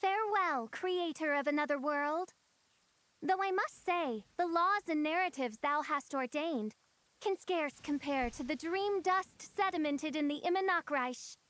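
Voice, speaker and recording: High-voiced, haughty princess. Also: female voice, unhurried, a bit bright. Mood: lofty. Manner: archaic, lofty, dramatic diction. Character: grandiose young princess, archaic and haughty. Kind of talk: English video game voice acting.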